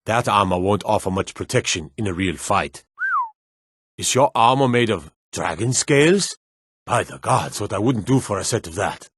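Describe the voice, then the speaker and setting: An actor playing a blunt, suspicious city guard in a fantasy video game, with folksy, old-fashioned turns of phrase. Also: male voice, fairly low pitch, lively intonation, moderate pace, background noise, natural voice. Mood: gruff, appraising, then admiring. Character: gruff, wary male city guard in a fantasy realm. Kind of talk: video game character barks.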